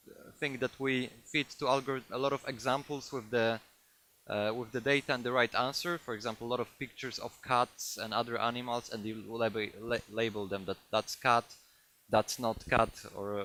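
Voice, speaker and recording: A man with a Polish accent giving a technical talk to a live audience, halting often with fillers and restarts. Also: mid-range voice, a bit bright, slight background noise. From Poland. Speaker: conference speaker.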